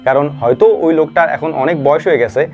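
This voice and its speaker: Quick, higher-pitched man over music. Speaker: video narrator and commentator. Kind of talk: informal explanatory commentary with religious exhortation. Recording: dull lo-fi voice track over music.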